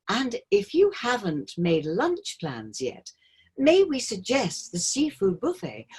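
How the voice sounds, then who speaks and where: A woman acting a cruise ship's captain in a stage play. The voice is husky, with lively rises and falls. The delivery is a formal, cheery, officious announcement meant to reassure passengers. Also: fairly low pitch, heavily acted.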